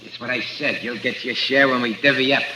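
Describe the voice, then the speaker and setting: Sharp, brash radio gangster. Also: male voice, medium pitch, fairly quick, slight background noise. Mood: sly, mocking. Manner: slangy, sneering tough-guy talk. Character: brash, menacing machine-gun bank robber, gang leader. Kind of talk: 1940s radio gangster acting. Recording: echoey 1940s radio recording.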